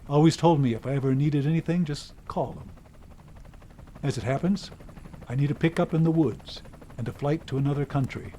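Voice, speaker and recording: Casting: male actor as embittered older American dad on the run, gruff and folksy, radio play, noticeable background noise. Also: fairly high pitch, brisk, mellow, natural voice. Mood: cold, resigned. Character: bitter, runaway old wealthy father, cagey and unsentimental. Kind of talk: audio drama dialogue.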